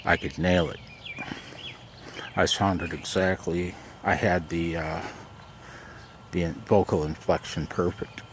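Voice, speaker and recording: A man with a gravelly voice chatting casually in a rambling, self-deprecating way for his vlog. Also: little pitch movement, takes it slowly, pauses often. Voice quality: low, gravelly. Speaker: amateur radio vlogger. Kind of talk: casual chat. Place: outdoors in a backyard garden and deck. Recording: outdoor background noise.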